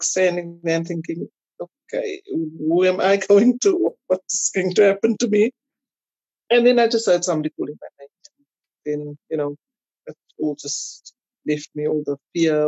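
A South African woman chatting casually as an interview guest, telling personal stories in an animated way. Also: on the low side, husky, no room sound, poor audio. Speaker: podcast guest.

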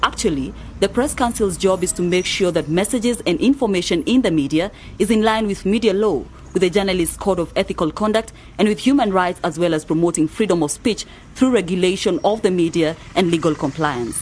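A woman acting a brisk, authoritative press council director in a scripted radio drama. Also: lowish voice, a little mellow, a little hiss or hum, lightly acted. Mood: official, explaining. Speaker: actor in an educational radio drama.

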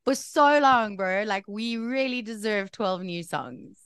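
A woman's voice: medium pitch, lively intonation, a little slow, a bit bright, smooth, no echo, clean studio sound. Upbeat woman radio host. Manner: upbeat, playful, teasing. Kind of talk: radio interview.

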